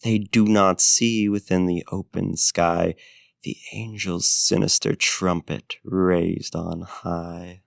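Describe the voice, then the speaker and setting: Man acting a slow, low, creaky seductive vampire. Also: steady tone, dry recording, studio quality, heavily acted. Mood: grandly poetic. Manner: formal, archaic phrasing, slow deliberate pauses, courtly endearments. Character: suave, predatory ancient male vampire, seductive and menacing. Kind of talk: ASMR roleplay, intimate theatrical monologue.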